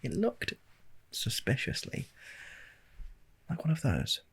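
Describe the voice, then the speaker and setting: A British man telling a rambling anecdote in a soft, breathy ASMR style, hesitant and wryly understated, close to the microphone. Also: fairly high pitch, huge pitch swings, slow. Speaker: ASMR video creator. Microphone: handheld stereo recorder, close up.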